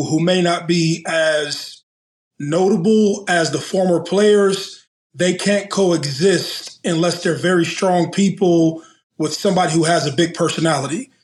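A man co-hosting a podcast in a studio, laying out his points step by step in a thoughtful way. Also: fairly high pitch. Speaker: podcast co-host. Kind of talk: casual chat, measured explanation.

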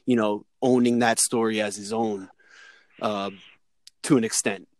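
A man on a remote call chatting as a guest, telling stories in a measured, hesitant way with many fillers. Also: on the low side, steady tone, darkish tone, dry recording. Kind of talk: casual podcast chat.

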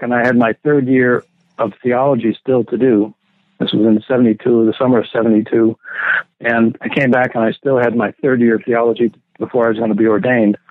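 A man's voice: mid-range voice, no room sound. An older man, a theology professor, reminiscing unhurriedly as an interview guest, often correcting himself and chuckling now and then. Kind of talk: relaxed reminiscing interview talk. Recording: tinny phone-like dry line.

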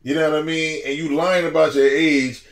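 A man in his forties, a podcast guest, telling stories in a casual, slangy, animated way and laughing as he goes. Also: fairly high pitch, moderate pace. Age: 40s. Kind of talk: casual storytelling chat.

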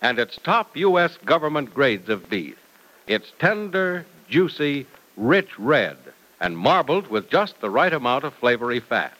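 A man announcing and pitching a product on 1940s radio, emphatic and salesy. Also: sing-song, unhurried, bright, forceful tone, rough recording.

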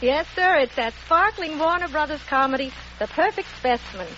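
A chirpy phone receptionist. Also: female voice, high voice, animated, moderate pace, fairly bright tone. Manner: cheerful, sing-song, promotional. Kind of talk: 1940s radio promo skit. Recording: dull 1940s radio broadcast with hiss.

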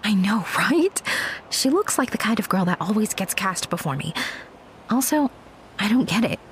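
A woman's voice: medium pitch, sing-song, moderate pace, a little hiss or hum, lightly acted. A woman playing a gushing, nosy, infatuated young actress in an audio drama, confiding and impulsive. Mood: defensive, insistent. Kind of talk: naturalistic audio drama acting.

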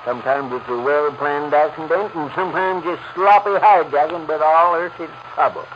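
A man's voice: fairly high pitch, moderate pace, close to an everyday voice. A man playing a pudgy, wheezing, grumbling roadhouse owner in a 1940s radio drama, flustered and folksy. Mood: nervous, reluctant. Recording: muffled, very noisy vintage radio recording.

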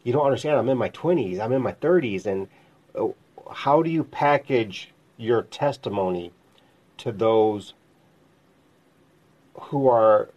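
A man's voice: medium pitch, lively intonation, measured pace, pauses often, a little mellow. A man in his fifties hosting a casual faith talk, asking long, rambling questions in a conversational way. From America. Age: 50s. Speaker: host. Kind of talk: casual interview chat. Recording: dull lo-fi sound.

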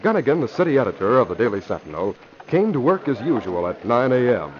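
A man's voice: mid-range voice, big pitch swings, quick pace. A man narrating a 1940s radio drama as announcer in a dramatic, declamatory manner. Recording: muffled vintage radio recording.

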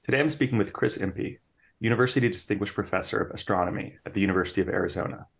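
A man's voice: lowish voice, racing, gravelly. A young man hosting an interview podcast, talking hesitantly with lots of restarts and fillers. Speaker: podcast interviewer, assistant editor.

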